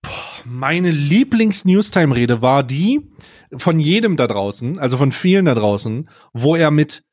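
A man's voice: on the high side, very animated, unhurried, darkish tone. A man co-hosting a casual comedic podcast, telling stories in a rambling, mocking way. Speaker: podcast co-host.

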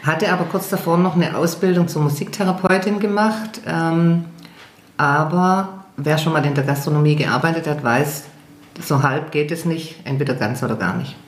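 A woman's voice: low-pitched, normal speed. A middle-aged woman singer talking casually as an interview guest, hesitant and full of fillers, with self-deprecating laughs. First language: German. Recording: echoey room.

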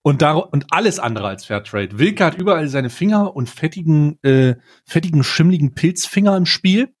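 This is a man with a voice a little higher than most men's. He hosts a comedy podcast and chats casually, with a jokey, slangy and informal way of talking. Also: animated, normal speed. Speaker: podcast host.

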